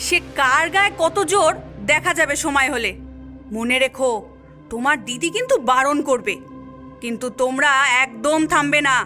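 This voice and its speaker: Woman playing a sly, bright-voiced cartoon schemer. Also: sits high, lively intonation, normal speed. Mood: coaxing, instructing. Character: scheming woman coaxing gullible youngsters into mischief. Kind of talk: cartoon voice acting. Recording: music behind the voice.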